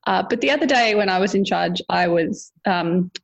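A woman's voice: mid-range voice, moderate pace, vocal fry. A woman hosting a podcast in casual, chatty conversation, laughing often. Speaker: podcast host.

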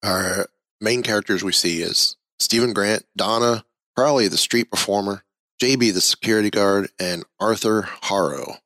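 A man hosting a casual podcast chat about a TV episode, leading the discussion in a relaxed way. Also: fairly low pitch, normal speed, no echo. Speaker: podcast host.

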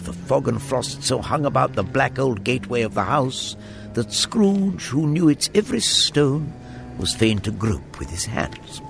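An actor playing a wry narrator of a Victorian tale, telling it in a deliberate, theatrical way. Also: male voice, mid-range voice, creaky. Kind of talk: scripted narration. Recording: music under the narration.

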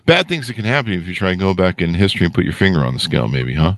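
A man's voice: sits low, very animated, rapid-fire, no echo. A man hosting a podcast in a casual, jokey, rambling way with a playful, self-deprecating manner. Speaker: podcast host.

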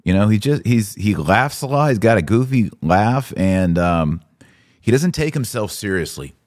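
A man's voice: on the low side, animated, moderate pace, darkish tone. An American man hosting a podcast, giving news commentary in an informal, conversational and opinionated way. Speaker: podcast host. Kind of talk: live news commentary to an audience.

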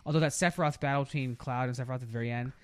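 Male podcast host, mid-pitched, rambling geeky enthusiasm, casual delivery, very dry recording. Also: fairly even intonation, racing, clear, resonant tone, no echo. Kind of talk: casual chat about video game movies.

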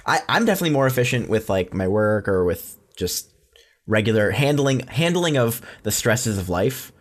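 A man's voice: lowish voice, very animated, moderate pace. A man chatting casually as a podcast co-host, blunt and swearing freely. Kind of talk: casual chat.

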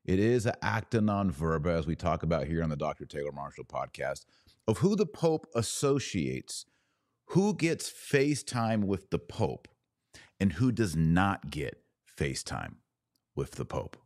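A man hosting a podcast, giving conversational news commentary in a deliberate, emphatic way with drawn-out pauses. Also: deep voice, sing-song, a little mellow, no room sound, studio quality.

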